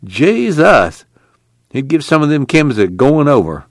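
A man voicing a bitter, weary male migrant farmhand with a rough, folksy rural drawl. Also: on the high side, big pitch swings, measured pace, no room sound, studio quality, voice pushed up, full character voice.

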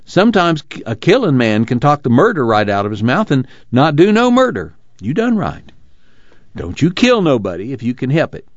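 A man's voice: mid-range voice, huge pitch swings, normal speed, no echo, highs cut off, pitched above the performer's own voice. A man voicing an earnest, compassionate rural former preacher with solemn, emphatic folksy speech in an audiobook novel. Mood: solemn, reassuring.

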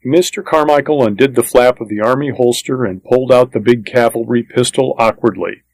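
A man's voice: medium pitch, a little fast, creaky, a little mellow, dry recording, poor audio. A man reading a first-person western story as scripted audiobook narration, in a plain, matter-of-fact manner.

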